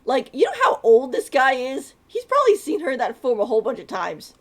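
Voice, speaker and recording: A woman's voice: sits high, wildly swooping pitch, brisk. A woman streaming a game, chatting casually and rambling, laughing often as she talks. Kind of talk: casual live-stream chat.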